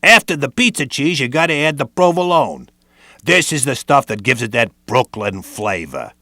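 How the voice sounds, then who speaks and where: A man's voice: mid-range voice, big pitch swings, moderate pace, pushed and bright, no room sound, clean studio sound, soft background music. A man narrating a scripted training video in a streetwise tough-guy patter.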